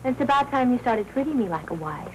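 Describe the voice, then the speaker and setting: An American actress playing a scheming, defiant young wife in a 1940s noir film, with an old soundtrack sound. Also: female voice, fairly high pitch, lively intonation, fast talker, muffled recording, a little hiss or hum, natural voice. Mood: cool, needling.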